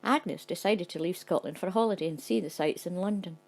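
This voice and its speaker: Woman storyteller: dry, matter-of-fact narration, lowish gravelly voice. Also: fairly quick, darkish tone, soft background music. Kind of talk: scripted narration.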